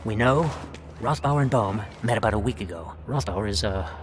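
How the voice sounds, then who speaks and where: An actor playing a pragmatic, commanding intelligence officer in a video game cutscene, matter-of-fact and insistent. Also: male voice, on the low side, expressive, moderate pace, close to an everyday voice. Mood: businesslike. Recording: music behind the voice.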